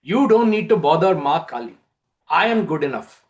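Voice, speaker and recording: A man's voice: on the high side, big pitch swings, normal speed. A man voicing an earnest young man, a brawny and devoted friend, in a dramatic story reading. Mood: confident. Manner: earnest, hesitant when flustered.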